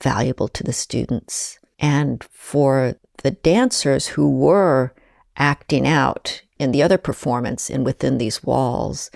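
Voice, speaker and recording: A woman speaking as an interview guest, reflective and measured, talking with warm enthusiasm about shared projects. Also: very deep voice, creaky, dry recording, studio quality.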